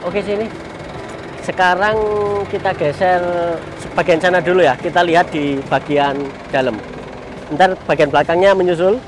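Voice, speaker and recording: A man's voice: high-pitched, normal speed, long pauses. A man in his thirties casually showing off a minibus outdoors, chatting to his viewers as friends. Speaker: vlogger. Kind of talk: casual walkaround vlog. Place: outdoor car show parking lot. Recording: outdoor noise behind voice.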